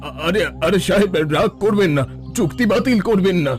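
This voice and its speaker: A man voicing a scheming village headman in a cartoon, talking in a wheedling, flattering way. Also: sits high, a little fast, soft background music. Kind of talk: Bengali cartoon dubbing.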